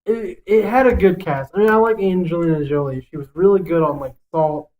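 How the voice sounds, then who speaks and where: A man's voice: high-pitched, a little fast, a little mellow, low-quality recording. A man co-hosting a casual podcast, talking in a blunt, foul-mouthed and emphatic way as he gives his opinions. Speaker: podcast co-host. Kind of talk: casual podcast chat, ranking list.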